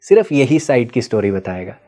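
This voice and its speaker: Mellow-voiced man explaining patiently. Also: mid-range voice, sing-song, brisk. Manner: patient teacherly explaining with frequent checking questions; mixes Hindi with English terms. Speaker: guest expert on ancestral healing. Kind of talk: explanatory interview answers.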